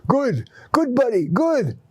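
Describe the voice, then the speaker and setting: Guy doing a encouraging dog owner, high coaxing calls, kindly, read-aloud story. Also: very animated, takes it slowly, a little mellow, breathy edge, dry recording, heavily acted. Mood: excited praise. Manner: raised, coaxing, repetitive. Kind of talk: character voice in story reading.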